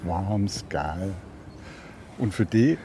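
A man's voice: deep voice, animated, extremely slow. A man interviewing casually outdoors, speaking in short questions and brief conversational remarks. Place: outdoors beside a road near a lake. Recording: loud traffic noise, outdoor field recording. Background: loud vehicles and street ambience.

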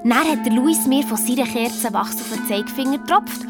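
Children's audio drama: chatty, earnest Swiss girl sleuth, played by a woman, very high voice, faint music behind. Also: brisk, natural voice. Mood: determined. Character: eager, bossy young girl detective, hotelier's daughter. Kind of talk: children's radio play, lively first-person storytelling.

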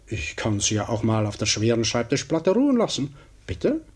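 A man's voice: medium pitch, huge pitch swings, moderate pace, slightly rough. A man playing a pompous, fretful everyman who mutters to himself in a 1950s radio comedy monologue, swinging between grand hopes and grumbling doubt. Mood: daydreaming, puffed up. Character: pompous, fretful everyman daydreaming aloud of riches and status.

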